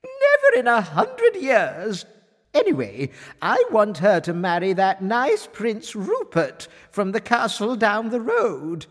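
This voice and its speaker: A woman acting an excitable, haughty wife and queen in a children's audio story, exclaiming theatrically with drawn-out words. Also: medium pitch, breathy edge, in a large hall, exaggerated voice. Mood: disgusted.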